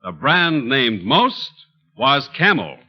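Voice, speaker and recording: A man's voice: medium pitch, big pitch swings, slow, bright, forceful tone. A man reading a scripted advertisement in a 1940s radio announcer style. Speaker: commercial announcer. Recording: dull lo-fi old radio.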